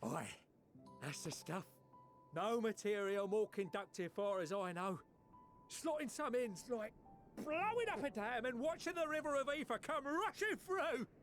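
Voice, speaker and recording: A man playing a rough-spoken, chirpy engineer in a video game, with a colloquial British accent and animated, folksy manner. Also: extremely high pitch, a little slow, soft background music, full character voice. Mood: enthusiastic. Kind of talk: video game cutscene acting.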